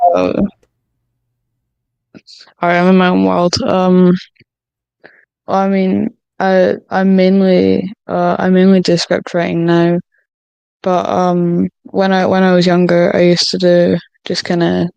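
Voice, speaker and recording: A woman chatting casually as a writer on a podcast, speaking in a hesitant, halting way. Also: fairly low pitch, monotone, vocal fry, a little mellow, clean tone, no room sound. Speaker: script writer, podcast guest. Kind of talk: casual chat.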